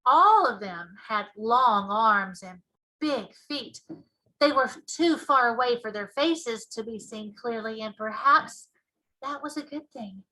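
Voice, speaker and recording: Female teacher, storybook read-aloud, expressive narration, deliberate phrasing, bright high voice. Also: poor audio.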